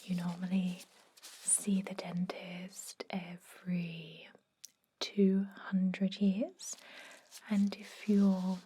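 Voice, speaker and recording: A woman playing a caring, centuries-old vampire dentist in a breathy, soothing whisper for an ASMR roleplay. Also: lowish voice, completely flat delivery, slight background noise. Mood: chatty, informative. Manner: soothing, gently chatty, unhurried.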